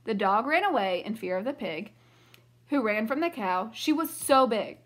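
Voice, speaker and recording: A woman's voice: fairly high pitch, expressive, moderate pace, a bit bright. A woman voicing an earnest little boy in a rhyming picture book, in a sing-song manner. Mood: explaining. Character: little boy calling out for help.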